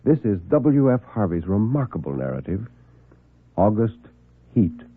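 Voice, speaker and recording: A male storyteller introduces a tale with deliberate, ominous phrasing. His voice is fairly low and expressive, heard through a muffled vintage radio recording. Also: slightly rough, dry recording. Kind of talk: scripted dramatic radio presentation.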